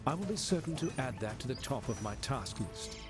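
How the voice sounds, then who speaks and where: A calm, dry-witted android from a video game, played by a man. He speaks in a mid-pitched voice with formal, exact wording and a deadpan air. Music is clearly heard behind him. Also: brisk, lightly acted. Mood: dryly formal. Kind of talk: video game cutscene acting.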